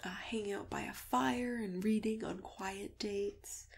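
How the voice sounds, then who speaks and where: Soft whispery ASMR woman with creaky voice. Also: mid-range voice, steady tone, measured pace, a little hiss or hum. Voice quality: soft, breathy, often dropping to a whisper. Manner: gentle, chatty, hesitant, reassuring. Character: friendly female matchmaker for monsters. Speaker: ASMR host playing a matchmaker. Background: computer keyboard typing and clicks.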